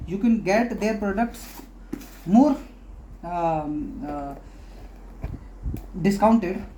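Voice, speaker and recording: A woman hosting a casual product unboxing, talking haltingly in short phrases with pauses. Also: on the low side, warm tone, a little hiss or hum, rough recording. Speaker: unboxing video host.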